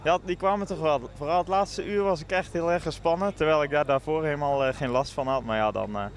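A man's voice: a little high, fast talker. A young man answering as an athlete in a post-race interview into a handheld mic outdoors. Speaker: professional cyclist interviewee. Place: outdoors by team truck in street. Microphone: handheld reporter mic. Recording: outdoor street ambience with vehicle noise.

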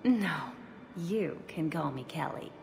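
A woman playing a gentle young woman in hiding, in video game dialogue. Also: sits low, expressive, slow pace, creaky, music in the background, heavily acted. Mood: wistful.

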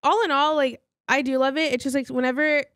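A woman co-hosting a podcast, talking in a quick, blunt, expressive way. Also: sits high, no echo, clean studio sound. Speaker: podcast co-host. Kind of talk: casual chat.

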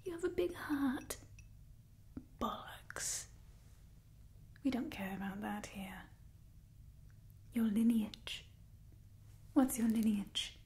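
A young woman playing an ancient, haughty vampire clan leader in a soft, breathy whispered ASMR roleplay, bitter and disdainful. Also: a little high, expressive. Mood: dismissive, impatient. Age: young adult. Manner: disdainful, theatrical, weary sighing, slow and deliberate.

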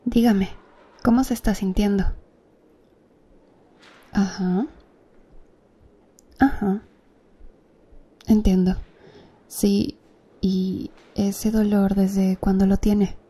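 A woman acting as a calm, attentive female doctor in an ASMR roleplay, speaking softly and close to the listener. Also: medium pitch, extremely slow, long pauses, slightly rough. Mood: gentle. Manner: soft, close-up ASMR speech, gently addressing the listener.

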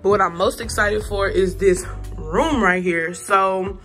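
A woman's voice: mid-range voice, a little slow, fairly bright tone, soft background music. A woman vlogger giving a casual, chatty tour of her new apartment, talking straight to her viewers with informal slang while filming on a phone. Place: empty apartment indoors. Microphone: phone camera held while walking.

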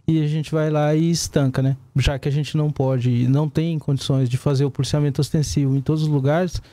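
A Brazilian man with a fairly high, soft-edged voice answers interview questions as a police commander. He explains step by step, checking in with short tags, close and dry on a studio microphone. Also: steady tone, a little fast, dry recording. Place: small indoor broadcast studio. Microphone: desk gooseneck microphone.

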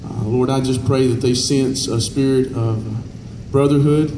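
A man, a pastor speaking informally and praying in public in an earnest, halting way. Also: medium pitch, steady tone. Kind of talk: informal public remarks and prayer. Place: covered outdoor festival stage. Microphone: handheld mic through PA, distant camera. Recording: echoey outdoor PA, noisy ambience.

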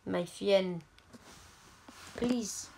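A gaming YouTuber with an Indian accent, giving deadpan, halting comedic commentary over mobile gameplay. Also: female voice, medium pitch, gravelly, a little hiss or hum. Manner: deadpan, halting, joking persona.

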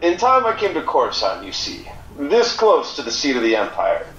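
A man playing a cryptic alien fence and trader in an audio drama, speaking in halting, deliberate riddles. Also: mid-range voice, wildly swooping pitch, fairly bright tone, roomy sound, muffled recording. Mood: mysterious, scheming.

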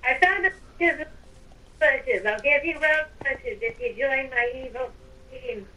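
A woman's voice: high-pitched, a little slow, bright, forceful tone, poor audio. A woman chatting casually in character while playing a game, over online voice chat. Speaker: gamer in roleplay video.

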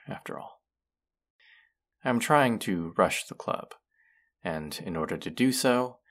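A man's voice: low-pitched, creaky. A young man acting a condescending, smug college student in a scripted audio roleplay, haughty and sardonic with a drawl. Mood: smug, scornful. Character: condescending, smug young male college rich-club hopeful. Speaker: voice actor.

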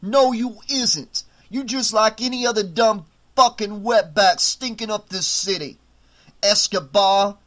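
A man acts out a bullying hotel supervisor who snarls threats at his staff. The voice sits high and hard-edged, and every line is pure contempt. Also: unhurried, fairly bright tone. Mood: menacing. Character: menacing brutish male hotel supervisor, bullying.

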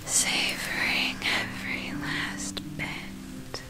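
A woman playing a wicked female vampire in a whispered ASMR roleplay, breathy and deliberate as she savors her storytelling. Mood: predatory, relishing.

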